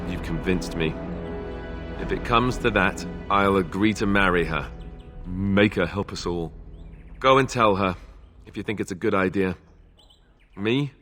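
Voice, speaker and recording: A man playing a wry, boyish, self-deprecating warrior prince in a fantasy game, talking informally and hesitantly. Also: low-pitched. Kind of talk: naturalistic fantasy game acting.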